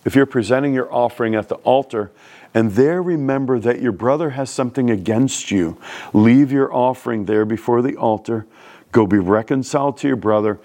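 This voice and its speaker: A man's voice: mid-range voice, darkish tone. Blunt New York preacher man. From New York City. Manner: plain-spoken, blunt, conversational preaching. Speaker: pastor. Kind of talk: sermon.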